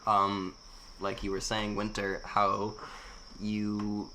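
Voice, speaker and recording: A man co-hosting a casual podcast chat, speaking haltingly with restarts. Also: on the low side, monotone, pushed and bright, slight background noise, low-quality recording. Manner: hesitant, halting speech.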